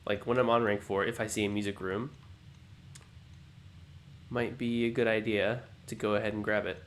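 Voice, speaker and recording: Low-voiced man musing through gameplay. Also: flat delivery, slight background noise. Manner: musing, unhurried, self-talking. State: low morning voice, just woken up. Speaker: let's play host. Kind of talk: thinking-aloud gameplay commentary.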